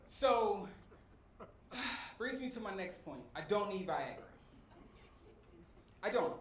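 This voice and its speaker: High-voiced man doing stand-up in an echoey club. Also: very animated, takes it slowly. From West Philadelphia. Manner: preacher-like cadence with crowd work. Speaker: stand-up comedian. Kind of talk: stand-up set. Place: comedy club stage. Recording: echoey club with faint crowd noise.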